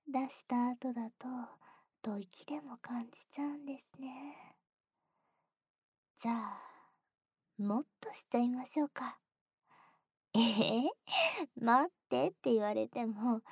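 A young woman voicing a playful junior who teases the listener, moving between soft speech and close whispers with frequent giggles. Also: high-pitched, husky, dry recording, exaggerated voice. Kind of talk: whispered ASMR roleplay.

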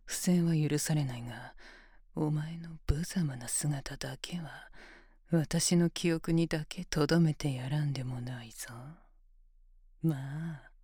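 Woman doing a cruel female examiner, low voice, breathy whisper, mocking, bossy, slow. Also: mellow, no echo, heavily acted. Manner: taunting, commanding, mostly whispered close to the ear. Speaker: voice actor in an audio roleplay. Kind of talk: whispered ASMR roleplay.

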